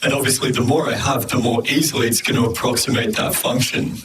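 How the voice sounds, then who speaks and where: A man's voice: medium pitch, fairly even intonation, fast, recorded from a distance. Hissy vocoded man, synthetic and noisy. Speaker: music production tutorial host. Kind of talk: instructional screen-recording narration.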